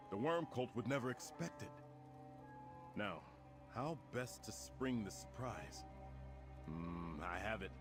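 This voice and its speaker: A man's voice: mid-range voice, close to an everyday voice. A man voicing a confident, commanding warrior prince in a fantasy video game, speaking formally and rousingly. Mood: resolute, then confident, scheming. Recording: prominent music behind the voice. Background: game music.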